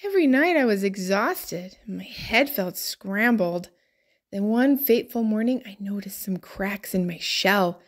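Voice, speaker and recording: An earnest little good egg from a storybook, voiced by a woman, eager to please. The pitch rises and dips freely, and words are stretched out slowly for emphasis. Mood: weary, strained. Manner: expressive, drawn-out emphasis. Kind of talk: children's picture book read-aloud.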